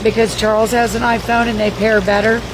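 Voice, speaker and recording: Hoarse woman, fairly high voice, profane rambling livestream host; noisy recording. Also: flat delivery, a little fast. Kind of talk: casual livestream recap reading viewer comments. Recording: noisy background.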